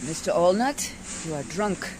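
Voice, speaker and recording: A woman's voice: on the low side, lively intonation, moderate pace, a little mellow, slight background noise, lightly acted. A woman acting a prim, pious, stern lady with formal, reproving speech in a radio play. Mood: stern disapproval.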